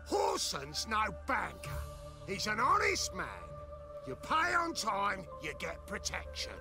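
A menacing debt-collecting thug from a video game. His voice is fairly high and swings wildly as he drawls slow taunts, with music underneath. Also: huge pitch swings, creaky, full character voice. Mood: threatening. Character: menacing male street thug collecting debts.

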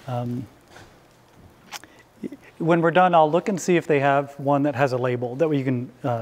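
A man's voice: mid-range voice, gravelly. A middle-aged man, a chef giving an informal live cooking demonstration in an easygoing, conversational way. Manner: easygoing, conversational, invites questions. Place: indoor hall before a small audience.

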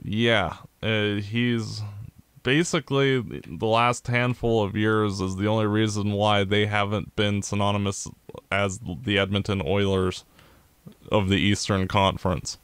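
A low-pitched Canadian man talking slowly and deliberately, with a smooth, clear voice. He co-hosts a casual sports podcast and breaks down the game in a measured way. The sound is close and dry. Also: flat delivery, a bit bright, dry recording. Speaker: podcast co-host.

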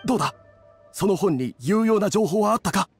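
An actor playing a friendly, straightforward inn cook with casual, blokey speech in an anime video game. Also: male voice, high voice, animated, brisk, soft background music. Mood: agreeable.

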